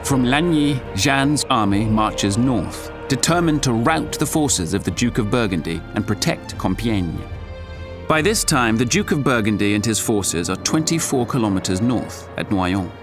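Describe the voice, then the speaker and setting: Solemn storyteller over music. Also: male voice, on the low side, lively intonation. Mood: solemn, dramatic. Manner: measured, storytelling. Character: solemn chronicler narrating a medieval war. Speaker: narrator. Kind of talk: scripted dramatic narration.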